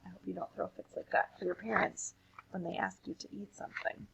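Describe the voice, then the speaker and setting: A woman reading children's poems aloud and chatting casually in an animated, playful way. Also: mid-range voice, brisk, gravelly, slightly rough, dull audio. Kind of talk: casual chat and expressive read-aloud of children's poetry.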